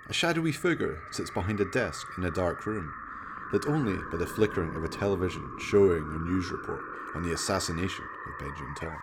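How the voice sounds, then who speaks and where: A man's voice: low-pitched, expressive, fast talker, background noise. A man giving scripted narration as the storyteller of a radio play.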